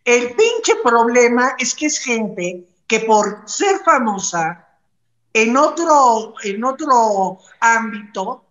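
A woman's voice: on the high side, very animated, takes it slowly, fairly bright tone. A middle-aged Mexican woman giving blunt, emphatic opinions as an entertainment show panelist, swearing casually. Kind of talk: opinionated celebrity commentary. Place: bright room at home. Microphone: video call, device at arm's length. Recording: roomy, slightly dull video call audio.

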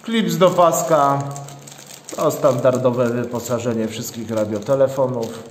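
A man's voice: medium pitch, measured pace, a little hiss or hum. A man giving an unscripted, matter-of-fact unboxing narration as a product reviewer, going through things methodically. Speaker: product reviewer, channel host. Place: indoors, at a tabletop. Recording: echoey hard-walled room, long reverb.

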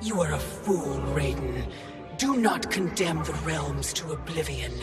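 A cold, imperious Titan in a video game cutscene. She speaks very deliberately, with a haughty, formal bite, over loud music. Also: low-pitched, expressive, creaky, heavily acted. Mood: menacing. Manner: haughty, measured, formal, taunting. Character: cold, imperious female Titan, keeper of time. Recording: music behind the voice.

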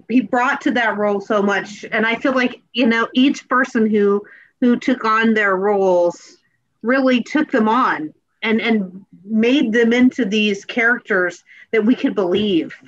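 An earnest, appreciative woman talking casually in a panel discussion over a video call. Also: on the high side. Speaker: panel participant in a theatre talkback. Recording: slightly dull video-call audio.

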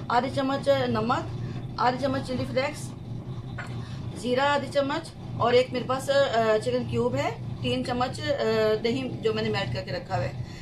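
High-voiced woman on a noisy kitchen recording. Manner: unhurried, talks through each step with frequent hesitations. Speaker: cooking channel host. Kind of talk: home cooking tutorial. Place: home kitchen.

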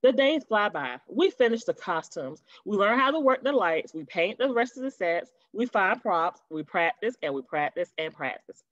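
Animated woman reading a children's storybook. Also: medium pitch, no room sound. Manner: animated, chatty asides to young listeners. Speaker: teacher reading aloud to children. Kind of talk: expressive children's storybook read-aloud. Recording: dull, lo-fi webcam audio.

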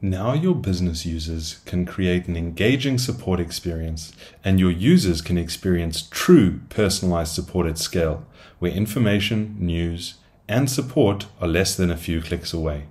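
A man's voice: deep voice, moderate pace, a little mellow. A man presenting a product demo as a solution engineer, reading scripted tutorial narration in an upbeat, salesy way. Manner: upbeat, salesy phrasing with rhetorical questions.

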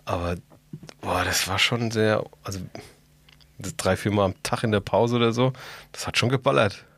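A man's voice: fairly low pitch, moderate pace, a bit bright, dry recording. A man co-hosting a podcast in casual chat, reacting and asking questions in loose, slangy everyday speech. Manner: colloquial, slangy. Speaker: podcast co-host.

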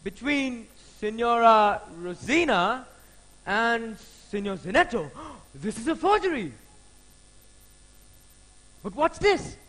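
A man playing a pompous, bewildered gentleman who exclaims in puzzlement. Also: very high voice, big pitch swings, slow pace, long pauses, full character voice, poor audio.

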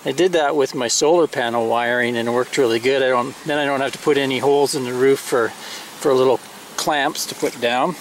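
A man's voice: mid-range voice, fairly even intonation, fairly quick, a little hiss or hum, rough recording. A middle-aged man talking casually while showing and installing gear in and on his RV, explaining each step with plenty of fillers and restarts. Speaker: RV owner reviewing gear. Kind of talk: casual unboxing and install walkthrough. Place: inside an RV, later up on its roof outdoors.

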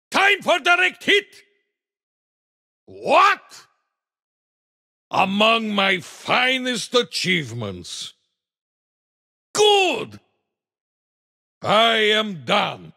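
A man voicing a big, blustery alien mad scientist in a racing video game, with a Russian accent and boastful, theatrical exclamations in a gravelly rasp. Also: high voice, wildly swooping pitch, extremely slow, pauses often, bright, forceful tone, heavily acted. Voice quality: gravelly, raspy. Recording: long reverb tail.

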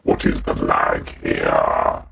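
Guttural, extremely deep croaking demon. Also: male voice, little pitch movement, slow, fairly bright tone, full character voice. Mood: brooding. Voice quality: guttural, growling. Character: sinister male demon playing games, mock-menacing and slow. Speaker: gamer. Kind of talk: live gameplay commentary. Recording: muffled lo-fi audio, faint noise.

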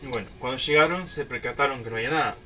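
A man's voice: mid-range voice, very fast, music in the background. Young Uruguayan man, slightly distant mic. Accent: Uruguayan Spanish. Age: young adult. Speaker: YouTube storyteller. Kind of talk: casual storytelling to camera. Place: small room at home.

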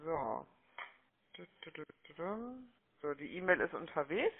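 High-pitched man chatting on the phone. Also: very animated, measured pace, slight background noise. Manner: chummy, wordy, often tags sentences with questioning "ne?" or "oder?". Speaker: phone sales agent from a call centre. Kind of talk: chatty, unscripted sales phone call. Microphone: telephone line. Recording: muffled, narrow-band phone-call audio.

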